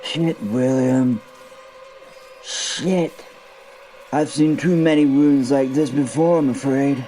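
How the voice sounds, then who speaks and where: A man's voice: mid-range voice, fairly even intonation, slow pace, mellow, soft background music, close to an everyday voice, poor audio. A man playing a battle-hardened medieval knight in an audio drama, a loyal comrade who swears and stammers. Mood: anguished, urgent.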